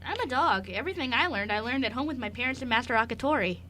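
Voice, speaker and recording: A woman playing an eager, naive young male dog servant in an amateur radio play, with wide-eyed, excitable questions. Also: a little high, quick pace, a little hiss or hum. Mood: earnest.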